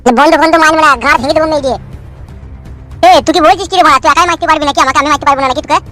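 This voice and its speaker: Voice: sits high, steady tone, very fast, bright, forceful tone, soft background music. A performer voicing a brash, foul-mouthed young village man who bickers and taunts in a comedy cartoon. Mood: threatening. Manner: taunting, swearing, slangy rural speech.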